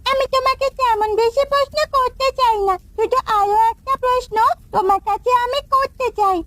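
একজন নারী কার্টুনে এক কৌতূহলী, জেদি ছোট্ট গ্রামের মেয়ের কণ্ঠ দিচ্ছেন, নাছোড়বান্দা আবদারের ভঙ্গিতে।. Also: female voice, very high-pitched, fairly even intonation, moderate pace, a bit bright. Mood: curious, pleading. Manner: pleading, insistent questioning. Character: inquisitive, stubborn little village girl. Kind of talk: Bengali cartoon fairy-tale acting. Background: background music.

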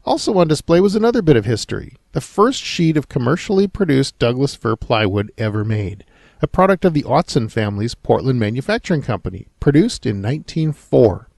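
A man from Oregon with an American accent and a gravelly voice, hosting a podcast and reading scripted history narration. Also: mid-range voice, big pitch swings, fairly quick, darkish tone, dry recording, clean studio sound. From Oregon, United States.

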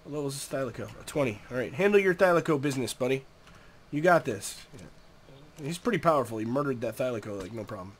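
A young man talks through a video game in a relaxed, chatty way, his voice stuffy and nasal from a cold. He sits at an ordinary middle pitch and coaxes the creatures on screen as he plays. A faint noise floor hums behind him. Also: fast talker. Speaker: gameplay commentator. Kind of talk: casual gameplay commentary.

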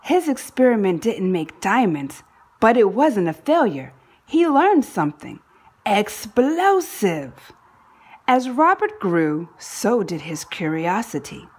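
A woman reading a children's book aloud in an expressive narrating style, phrasing deliberately and leaning on key words for drama. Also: medium pitch, slightly rough. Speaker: storybook reader.

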